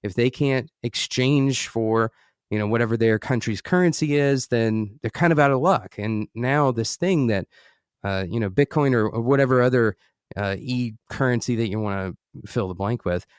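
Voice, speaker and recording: An American man hosting an interview podcast, speaking conversationally and haltingly, thinking aloud and rephrasing as he goes. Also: medium pitch, clean tone, dry recording, clean studio sound. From United States. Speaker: podcast host interviewing a guest. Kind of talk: conversational interview, long musing questions.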